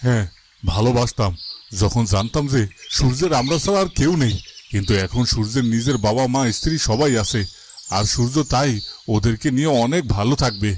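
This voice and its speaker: An irritable cartoon father played by a man scolds in a mid-range voice. His delivery is stern and melodramatic. Chirping birds and noise sit clearly in the background. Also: mid-range voice, lively intonation, fairly quick, close to an everyday voice. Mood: bitter. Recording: noisy track with chirping birds.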